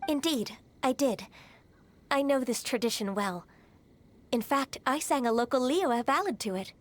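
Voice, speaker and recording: A woman playing a gentle, dutiful half-human adeptus secretary in a video game, with polite, formal, sometimes hesitant speech. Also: high-pitched, lively intonation, a little slow. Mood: polite, grateful.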